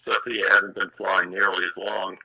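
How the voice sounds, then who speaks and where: A man speaks over a dull, band-limited phone line in a low voice full of fry, with a hard, sharp edge. His replies are brief and halting, in the style of an official fielding a call. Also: flat delivery. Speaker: space agency program manager taking a call. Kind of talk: hesitant phone conversation.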